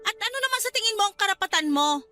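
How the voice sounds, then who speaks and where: Casting: scornful scolding aunt, Filipino radio drama, very high, bright, confrontational delivery. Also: female voice, expressive, very fast, soft background music, full character voice. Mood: angry, scornful. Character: hostile, scolding aunt guarding her house.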